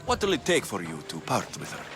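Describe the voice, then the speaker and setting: An actor playing a cocky young Greek mercenary in a video game, wry and self-assured. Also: male voice, medium pitch, huge pitch swings, fast talker, music in the background. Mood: confident, bargaining. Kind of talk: video game cutscene acting.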